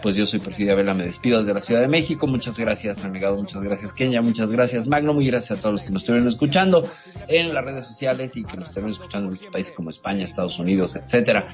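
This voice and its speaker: Fast-talking Mexican man. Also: on the low side, faint music behind. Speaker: radio show co-host. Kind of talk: casual radio sign-off with thanks.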